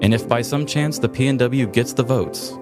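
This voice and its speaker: A man's voice: mid-range voice, moderate pace, music in the background. An American man from the Midwest hosting a podcast with mock-grandiose, sarcastic comedy delivery. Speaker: podcast host. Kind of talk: scripted comedic monologue and banter.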